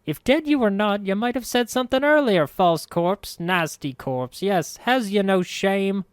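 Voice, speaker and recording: A man's voice: fairly high pitch, very animated, normal speed, clean tone, no room sound, clean studio sound, pitched above the performer's own voice. A man doing a sour sing-song old crone. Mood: indignant. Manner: sing-song, muttering to herself. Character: sour old woman looting corpses, mumbling, sing-song.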